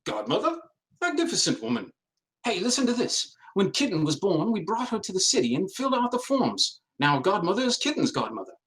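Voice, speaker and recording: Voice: mid-range voice, wildly swooping pitch, fairly quick, fairly bright tone. An actor in a comedic script read voicing a bumbling, henpecked male sphinx cat husband, hesitant, shifty and chummy. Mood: chummy, boastful.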